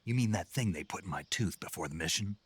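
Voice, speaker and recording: A man voicing a laconic special forces soldier with a husky voice and a dry, sardonic wit in a game. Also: low-pitched, lively intonation, fast. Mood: wry. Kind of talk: game dialogue.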